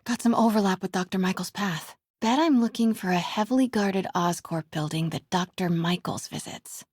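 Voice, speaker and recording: A woman acting a breathy, wry young sleuth. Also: mid-range voice, normal speed, no room sound, lightly acted. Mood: curious, focused. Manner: wry, hushed self-talk. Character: wry, curious young woman reporter sneaking around, quick-witted. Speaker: video game voice actor. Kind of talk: video game character dialogue, muttering to herself while sneaking.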